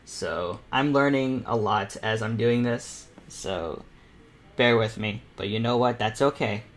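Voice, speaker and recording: A man's voice: lowish voice, measured pace. Young man giving a casual painting tutorial. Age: teenager or young adult. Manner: informal, encouraging, rambling with frequent repeats. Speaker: painting panel host and self-taught artist. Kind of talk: casual live tutorial commentary while painting.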